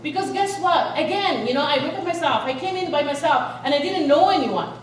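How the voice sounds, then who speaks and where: A woman from Egypt giving an energetic inspirational speech to a live audience, rallying them with questions. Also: sits high, fairly quick, a little hiss or hum. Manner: energetic, rallies the crowd with questions. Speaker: motivational speaker. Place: large event hall. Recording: echoey hall, voice from far off.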